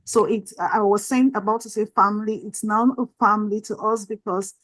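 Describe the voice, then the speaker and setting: A woman's voice: mid-range voice, fairly even intonation, moderate pace, a bit bright. A woman from Cameroon co-hosting a business workshop and introducing herself with some hesitant "uh" fillers. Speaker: workshop co-host.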